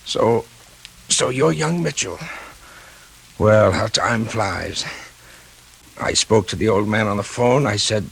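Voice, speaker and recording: An actor playing a sly, ailing veteran newspaperman with a husky, halting, weary voice in 1940s radio style. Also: on the low side, exaggerated voice, low-quality recording. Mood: sly, tired. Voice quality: gravelly, husky. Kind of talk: 1940s radio drama acting.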